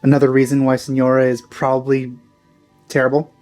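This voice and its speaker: A man's voice: medium pitch, monotone, a little fast, darkish tone. Let's play host: male, casual and offhand, a bit hesitant, faint game music behind him. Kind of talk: casual gameplay commentary.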